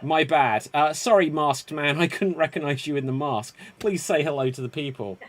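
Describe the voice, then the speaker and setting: A man's voice: on the high side, moderate pace, a bit bright. A man hosting a live improv game over a video call, upbeat and a bit rambling. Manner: upbeat, rambling, self-correcting. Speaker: improv show host. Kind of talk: energetic game-show hosting.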